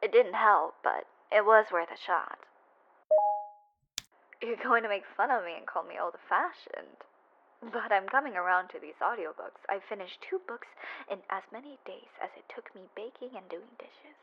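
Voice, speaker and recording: A woman's voice: mid-range voice, moderate pace, a little hiss or hum, close to an everyday voice. Woman playing a cocky, sweet-talking mafia boss, lo-fi. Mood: exasperated. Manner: teasing, swearing, often dropping to a breathy murmur. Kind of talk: intimate roleplay voice message. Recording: dull, lo-fi voice-message sound.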